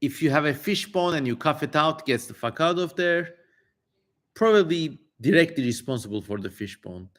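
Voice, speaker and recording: A man's voice: a little high, animated, normal speed. A man doing a dramatic live reading of an old text, theatrical on strange names, with casual, irreverent, sweary asides. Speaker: streamer reading an ancient text aloud.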